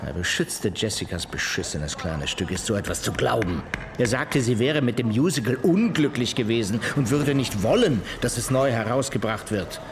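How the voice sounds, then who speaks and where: Actor as a animated, cynical theatre producer. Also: male voice, mid-range voice, moderate pace, background noise, natural voice. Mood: scornful. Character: talkative, cynical male theatre musical producer. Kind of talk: radio crime drama acting.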